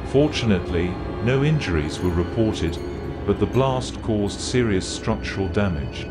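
A man reading scripted documentary-style horror narration in a measured, factual way. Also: on the low side, lively intonation. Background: music.

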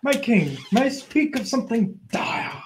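A man playing a scheming, vengeful royal official in an old-time radio show, theatrical and halting. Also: very high-pitched, sing-song, a little hiss or hum, full character voice. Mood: grave, obsequious. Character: vengeful scheming male royal official, imperious, obsequious to the king.

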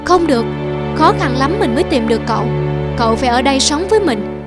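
A woman's voice: high-pitched, expressive, fast. A woman voicing a loyal, earnest young householder in a cartoon folk tale, with an emotive, pleading delivery. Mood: insistent, friendly. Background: music.

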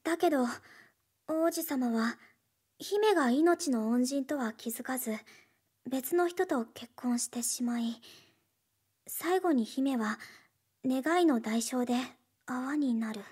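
A woman playing a reserved, thoughtful teenage schoolgirl in a Japanese anime game, speaking softly and hesitantly with a breathy voice. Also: high voice, heavily acted. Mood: measured storytelling. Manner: hesitant, gentle, measured.

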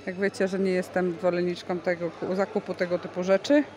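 A woman's voice: on the low side, flat delivery, fairly quick, darkish tone. A woman hosting a casual vlog, chatting enthusiastically and explaining goods as she films with a handheld phone in a large covered market hall. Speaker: vlog host. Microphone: handheld phone camera, speaker behind it. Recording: busy market crowd noise. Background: bustling market crowd.

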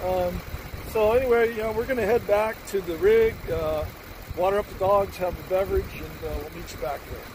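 A man in his forties narrating a casual travel vlog from inside a pickup truck, relaxed and rambling with lots of filler words. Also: high voice, fairly quick, background noise. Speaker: RV travel vlogger. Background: background music.

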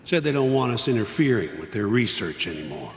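An actor playing a jaded, exasperated middle-aged lawyer in a video game, blunt and world-weary in manner. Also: male voice, on the low side, sing-song, fairly quick. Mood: matter-of-fact. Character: jaded, exasperated older male defense lawyer. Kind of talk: video game cutscene acting. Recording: echoey hall reverb, music behind.

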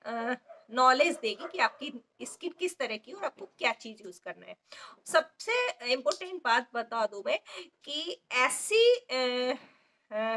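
A woman in her forties with a sore throat chats through beauty tips into a phone held close in a small parlour. Her voice is high and bright. She keeps asking viewers "okay?" between steps. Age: 40s. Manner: chatty and instructive, many fillers, frequent check-ins to viewers. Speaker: makeup artist. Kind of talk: casual beauty tips vlog. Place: indoor beauty parlour with ceiling fan. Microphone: phone camera held close.

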